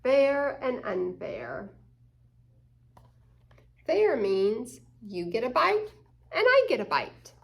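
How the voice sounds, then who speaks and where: A woman's voice: a little high, sing-song, drawn-out words, gravelly, pauses often. A woman hosting a children's story time, reading a picture book aloud and explaining it with questions to the young listeners.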